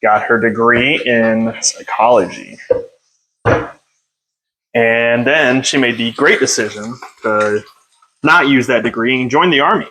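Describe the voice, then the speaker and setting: A man's voice: medium pitch, unhurried, long pauses, bright, forceful tone, rough recording. A young man hosting a podcast from home, talking off the cuff into a phone on a stand, rambling and self-deprecating, with lots of fillers. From United States. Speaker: podcast host. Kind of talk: unscripted casual monologue.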